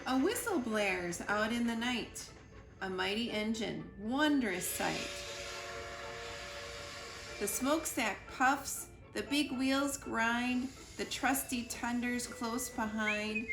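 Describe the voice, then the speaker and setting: A woman reads a picture book aloud for children, unhurried and expressive. Her fairly high voice has a noticeable creak, and she leans playfully on the rhymes. The room sounds echoey, with music behind. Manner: deliberate, playful emphasis on rhyming words. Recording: roomy echo with music behind.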